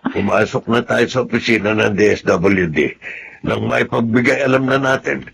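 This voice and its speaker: An actor playing a determined, reassuring farmer husband in a Filipino radio drama. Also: male voice, mid-range voice, moderate pace, gravelly, fairly bright tone, slight background noise. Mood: firm, coaxing.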